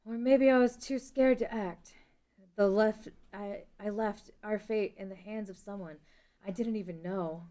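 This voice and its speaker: A woman playing a grave, regretful young princess in an amateur fantasy table read, solemn and measured. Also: medium pitch, fairly even intonation, warm tone, natural voice.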